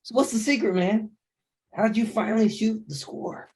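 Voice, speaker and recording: A man's voice: high voice, steady tone, normal speed, natural voice. A man playing a boorish, tipsy, joking buddy in a short film table read over video call. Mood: boorish, teasing.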